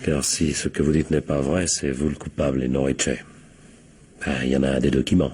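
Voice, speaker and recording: A man's voice: extremely low pitch, fairly even intonation, fairly quick, very noisy. A man playing a dogged, wry American police captain in a French radio crime drama, authoritative and probing. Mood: probing, firm.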